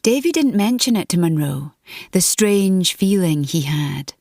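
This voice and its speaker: A woman reading dramatic fiction as an audiobook narrator, in a measured, deliberate storytelling manner. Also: fairly low pitch, big pitch swings, gravelly, a little mellow, no room sound, studio quality.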